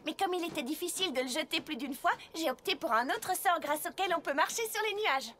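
A woman's voice: very high voice, expressive, a little fast, sharp tone, exaggerated voice. A woman voicing a vain, refined young female unicorn pony in a cartoon dub, theatrical and gushing. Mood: showing off. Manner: theatrical, showy.

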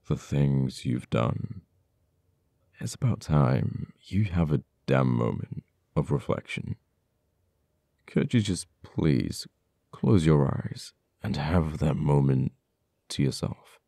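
A man acting an uptight, cold and bitter government official in an ASMR roleplay, with halting, dramatic delivery. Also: dark tone, no room sound, clean studio sound, full character voice. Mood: stern, pleading. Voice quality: very deep, gravelly. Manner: halting, teasing, dramatic pauses and repeated words.

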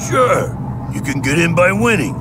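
An actor playing a gruff, dismissive nightclub bouncer in a video game, blunt and sarcastic. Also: male voice, a little high, very animated, moderate pace. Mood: mocking. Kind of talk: video game dialogue acting. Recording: very loud music behind.

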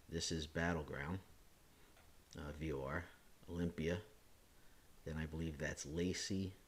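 Man, low deep voice, hoarse with a cold, casual step-by-step tutorial, thinks aloud. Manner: relaxed, thinking aloud with frequent uh and self-corrections. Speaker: flight sim hobbyist host. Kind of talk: screen-recorded how-to walkthrough.

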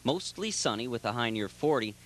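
A young man hosts a country radio shift with easy, chatty patter and keeps inviting listeners to call in. His voice sits at a middle pitch for a man. It is recorded close on a boom mic in a small studio. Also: animated, fairly quick. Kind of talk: friendly on-air patter. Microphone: boom mic with headphones.

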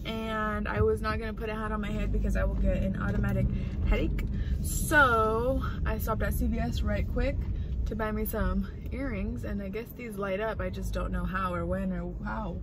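A young woman chatting casually to her camera from the driver's seat, a bit husky and rambling, laughing at herself. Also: fairly even intonation, moderate pace. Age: young adult. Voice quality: husky, slightly low voice. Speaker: vlogger. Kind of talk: casual driving vlog. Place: inside a parked or moving car. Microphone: phone camera on the dashboard. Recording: distant phone mic with road and car noise.

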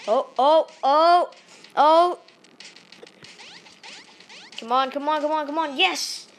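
Excitable Malaysian woman yelling at a game. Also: sits high, takes it slowly. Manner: excitable, yelps and pleads at the game. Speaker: gaming channel host. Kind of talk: let's play commentary. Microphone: tablet microphone held to face.

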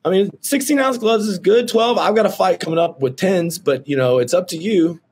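A man of thirty-six in casual video-call chat from his office, brash and excitable, swearing freely and calling people dude and bro. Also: high-pitched, normal speed, a little hiss or hum. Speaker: roofing company owner and host. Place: office with shelves.

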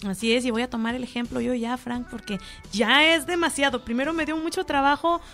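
High-voiced chatty Mexican woman host. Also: steady tone, brisk, a bit bright, faint music behind. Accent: Mexican Spanish. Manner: chatty, personal anecdotes, addresses audience as friends. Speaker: show host. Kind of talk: casual talk show chat.

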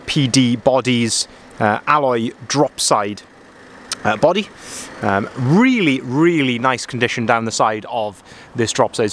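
A sales manager walking around a van outdoors and talking it through, chatty, often repeating himself and saying "as I say", recorded on a handheld camera. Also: male voice, medium pitch, a bit bright, slight background noise. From Wales. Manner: chatty, filler-heavy, repeats "as I say". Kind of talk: walkaround vehicle review. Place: outdoor van yard, then inside a van cab. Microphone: handheld camera held by the speaker.

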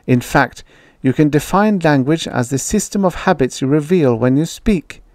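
A man voicing a quick-tempered woman academic who argues and lectures with emphatic conviction. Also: on the high side, animated, no echo, studio quality, pitched above the performer's own voice, natural voice. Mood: insistent.

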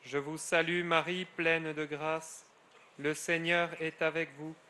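A middle-aged friar leading solemn prayer in a measured cadence, his phrases falling away at the end. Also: a little high, flat delivery, background noise. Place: outdoors at a grotto shrine.

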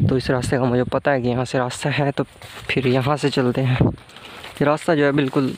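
Young man, flat voice, outdoor phone vlog. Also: mid-range voice, low-quality recording. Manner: casual and explanatory, mixes English words into his talk. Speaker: vlogger. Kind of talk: casual walking vlog narration. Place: outdoors among sugarcane fields and dirt paths. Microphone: phone camera held at arm's length. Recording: outdoor phone audio with clear background noise.

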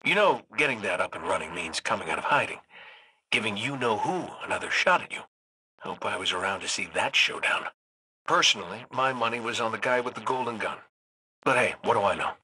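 An actor playing a cocky, wisecracking robot gunslinger in a video game, with an American accent and a wry, folksy, sardonic way of talking. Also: male voice, on the low side, lively intonation, brisk, pushed and bright, slightly rough, full character voice, low-quality recording. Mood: teasing. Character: cocky wisecracking male robot gunslinger, roguish hunter leader. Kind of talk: video game voice acting, recorded farewell messages.

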